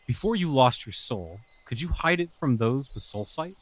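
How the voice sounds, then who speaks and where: Man acting a cynical male outcast, medium-pitched voice, mocking manner, fantasy audio drama. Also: animated, moderate pace, no echo, close to an everyday voice. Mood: matter-of-fact. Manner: sarcastic, mocking. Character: cynical, sarcastic human loner, male outcast mage.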